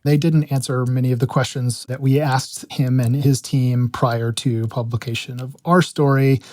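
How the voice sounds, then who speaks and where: An American man, a reporter explaining things as an interview guest, measured and careful in how he phrases them. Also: medium pitch, flat delivery, a little mellow, dry recording. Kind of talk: explanatory radio interview talk.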